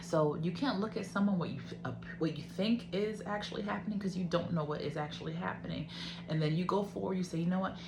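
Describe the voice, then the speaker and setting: Woman, lowish pitch, earnest instructive advice-giver, direct and informal; echoey recording with clear background hum. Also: fast talker. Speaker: advice speaker. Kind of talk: informal motivational advice talk. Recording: roomy echo, clear hum and noise.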